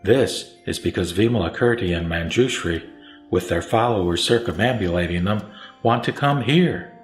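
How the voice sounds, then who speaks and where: A calm, authoritative Buddha read by a man teaches in a sutra audio drama. The low voice is measured and solemn, over soft music. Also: echoey room, close to an everyday voice. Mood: calm, instructive. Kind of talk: audio drama scripture dialogue. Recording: background music under the voice.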